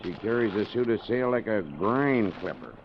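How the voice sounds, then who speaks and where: A chatty, salty old harbor boatman in a 1940s radio play. His gruff seafaring drawl sits on the low side and swings about freely. The recording is dull and clearly noisy. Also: expressive, normal speed. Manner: nautical slang, drawling seafarer talk.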